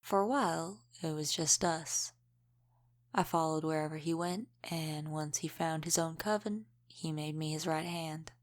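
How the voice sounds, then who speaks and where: Centuries-old female vampire mentor, played by a woman: low register, guarded, measured, dryly matter-of-fact. Audio roleplay. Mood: nostalgic, wistful. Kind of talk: scripted audio roleplay, addressed to the listener.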